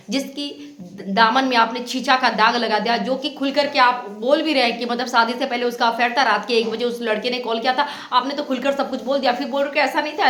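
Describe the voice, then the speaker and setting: A woman's voice: on the high side, a bit bright, reverberant. A woman giving opinionated reaction commentary as a channel host, reproachful and insistent, often repeating her points.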